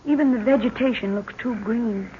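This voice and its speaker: A woman's voice: fairly high pitch, normal speed, natural voice. An actress playing an uneasy, sensitive young woman on a jungle trek in a 1930s radio adventure, plaintive and dramatic. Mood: fearful. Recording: muffled, noisy 1930s radio recording.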